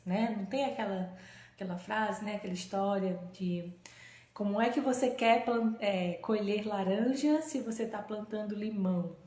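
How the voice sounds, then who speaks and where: A woman, a holistic therapist, hosting a live stream in a casual, gentle way and telling a story, often checking in with her listeners. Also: medium pitch. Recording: echoey room.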